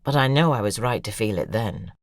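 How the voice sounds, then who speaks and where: Audio drama role: cold, disdainful woman, cutting and articulate, animated pitch swings, close dry sound. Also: a little fast, no room sound. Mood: bitter contempt. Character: cold, haughty older woman, scornful former object of a crush. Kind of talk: audio drama acting, reading out emails.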